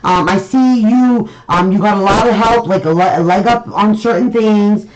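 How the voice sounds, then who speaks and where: A loud, cheerful woman co-hosting a psychic call-in show, who laughs often and calls callers honey. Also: medium pitch, moderate pace, lo-fi sound, a little hiss or hum. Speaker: psychic radio co-host.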